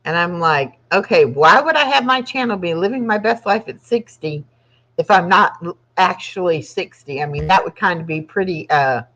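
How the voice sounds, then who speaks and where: A woman in her sixties talks casually on her own live channel, rambling from story to story and laughing often. Her voice sits a bit low, and the recording is dull and lo-fi. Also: lively intonation, normal speed, fairly bright tone. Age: 60s. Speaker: channel host. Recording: dull lo-fi sound.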